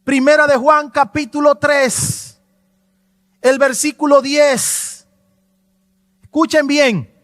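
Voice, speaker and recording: A man's voice: very high voice, steady tone, slow, pauses often, a bit bright, no room sound, studio quality, in a large hall. A pastor preaching a fervent, emphatic sermon. He repeats himself for emphasis in a church sanctuary.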